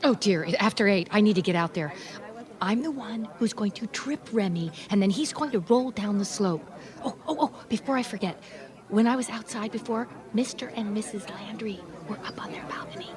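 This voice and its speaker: An actress playing a detective's sharp, chatty female associate in a radio mystery. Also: medium pitch, brisk, close to an everyday voice. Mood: puzzled, chatty. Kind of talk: radio mystery drama acting.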